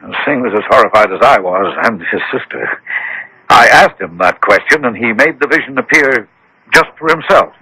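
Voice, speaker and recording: Old radio drama, a worried well-off gent, formal but flustered, really animated, dry close sound. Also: male voice, medium pitch, moderate pace, slightly rough, no room sound, heavily acted, low-quality recording. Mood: earnest, awed. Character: anxious, credulous, well-mannered wealthy male client. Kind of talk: vintage radio mystery drama acting.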